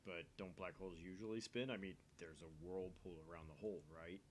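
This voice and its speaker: A man's voice: steady tone, fairly quick, close to an everyday voice. A man voicing a teasing, nerdy young male otaku from a visual novel, droll and full of questions. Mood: teasing, curious. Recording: faint noise with low rumble.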